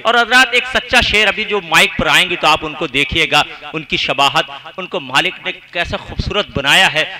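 A man's voice: fairly high pitch, normal speed, bright, forceful tone. A man hosting a mushaira, reciting couplets and introducing the next poet in ornate, courteous Urdu. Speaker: mushaira host. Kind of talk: poetic stage announcing with recited couplets.

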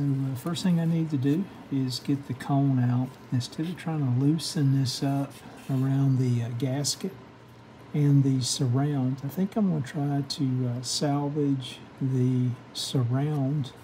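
A man's voice: medium pitch, fairly even intonation, slow pace, warm tone, a little hiss or hum, low-quality recording. A man giving an unscripted, hands-on workshop demonstration, explaining step by step in a methodical, hesitant way. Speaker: host of a radio repair how-to channel. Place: indoor home workshop bench.

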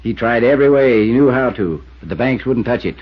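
An actor playing a laconic, stubborn small-town constable with a rural American accent and a folksy drawl in a 1950s radio drama. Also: male voice, medium pitch, expressive, fairly quick, lightly acted. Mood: matter-of-fact. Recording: muffled 1950s radio recording.

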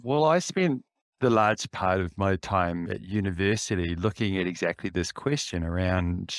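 A man's voice: low-pitched, moderate pace, no room sound, clean studio sound. Reflective New Zealand man in his fifties. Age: 50s. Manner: reflective, with light laughs and frequent restarts. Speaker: podcast guest. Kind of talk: casual interview chat. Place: indoor office. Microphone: headset on a video call.

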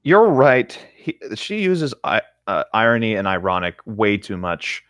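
A man in casual, playful co-host chat, quick to joke. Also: fairly low pitch, sing-song, fairly bright tone, dry recording, studio quality. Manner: playful, laughs readily.

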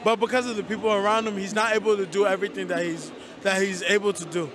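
An earnest man from Nigeria with a fairly high voice, talking in an open, conversational way. A busy crowd is heard behind him on a city street. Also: level tone, fast. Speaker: nonprofit worker. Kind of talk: street interview.

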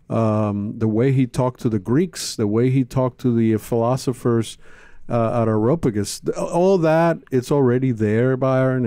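Gravelly, halting man. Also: mid-range voice, mellow, no echo. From Cuba. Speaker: interview guest, actor. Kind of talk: casual interview conversation. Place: small indoor studio. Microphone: close studio microphone.

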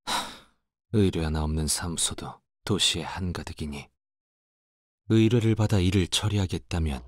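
Game casting: dutiful young fixer, lowish voice, archaic formal diction, reserved and melancholy, very dry close sound. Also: male voice, no room sound. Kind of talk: scripted game character voice lines.

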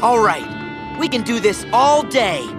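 A man's voice: very high-pitched, sing-song, unhurried, music in the background, heavily acted. An actor voicing an upbeat, loyal teenage boy hero in an earnest youthful voice.

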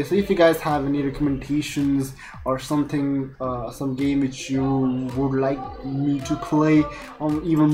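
A man's voice: mid-range voice, a little mellow. A nineteen-year-old man with an Indian accent chatting casually and off the cuff in a vlog, often interrupting himself. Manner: rambling, self-interrupting, casual swearing. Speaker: YouTube vlogger, student. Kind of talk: casual unscripted vlog chat. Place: small bare room indoors. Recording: noisy room with background rain.

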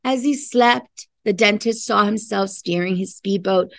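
A woman's voice: mid-range voice, measured pace, gravelly, dry recording. A middle-aged woman reading a picture book aloud to children, expressively, stopping to explain and to prompt listeners with questions. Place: classroom, indoors.

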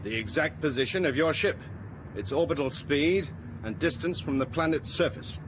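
Casting: male actor for grumpy, commanding space captain, higher-set voice, radio drama, noisy ship background. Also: expressive, moderate pace, lightly acted. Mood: brisk. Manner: brusque, authoritative. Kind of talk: 1980s radio drama acting. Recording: noisy spaceship cabin sound effects.

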